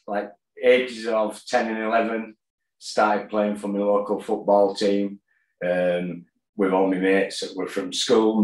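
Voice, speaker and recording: A man, telling his story plainly in a video-call interview, with fillers and pauses. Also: on the low side. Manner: plain-spoken, halting with fillers. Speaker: guest, boxer and gym owner. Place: kitchen at home, indoors. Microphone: tablet on a video call. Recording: echoey room.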